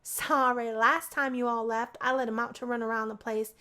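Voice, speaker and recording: Woman playing a jumpy teasing college roommate girl, high voice, excitable, visual novel character. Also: normal speed, smooth. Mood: apologetic. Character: nervous, teasing young female housemate hiding a puppy.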